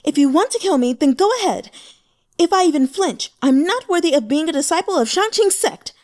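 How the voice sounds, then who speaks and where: A woman's voice: sits high, expressive, fairly quick, pitched above the performer's own voice. Woman playing a defiant young sect disciple. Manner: resolute, forceful.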